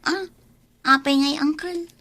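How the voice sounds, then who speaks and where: A woman's voice: high voice, steady tone, takes it slowly, bright, forceful tone, heavily acted. A woman acting a hard-up young mother in a radio drama, pleading and plaintive, with an Ilocano accent.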